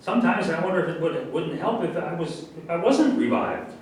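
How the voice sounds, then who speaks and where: Touchy academic played by a man, slightly high voice, sarcastic retorts; stage reading in a very echoey room. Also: lively intonation, a little fast, recorded from a distance, close to an everyday voice. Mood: agitated. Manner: contrary, retorting. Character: touchy, sarcastic professor and government researcher. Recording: echoey, noisy room recorded from afar.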